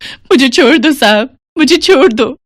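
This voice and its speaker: A woman's voice: high voice, lively intonation, unhurried, dry recording, clean studio sound, pitched above the performer's own voice. Woman acting a pleading, weary poor mother. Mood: distressed, pleading. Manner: plaintive, beseeching. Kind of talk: animated Hindi moral story acting.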